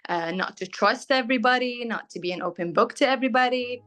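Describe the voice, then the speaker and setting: A young woman from Syria with a British accent and Arabic as her first language, frankly answering interview questions and often pausing with "um" as she looks for words. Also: fairly high pitch, a bit bright. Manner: frank, fills pauses with "um", searches for words. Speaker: guest, bridal fashion designer.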